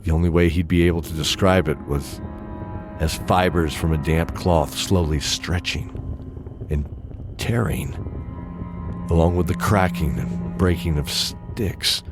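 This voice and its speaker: Deep-voiced man narrating dramatic fantasy. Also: steady tone, over music. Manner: measured, dramatic phrasing with deliberate pauses.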